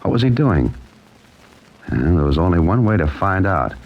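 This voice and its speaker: An actor playing a wry, cool-headed amateur sleuth, with dry, clipped delivery in the style of an old-time radio mystery. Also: male voice, low voice, big pitch swings, normal speed, highs cut off, heavily acted. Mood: tense, determined. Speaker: narrator and lead character. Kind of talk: old-time radio mystery acting, hardboiled first-person narration.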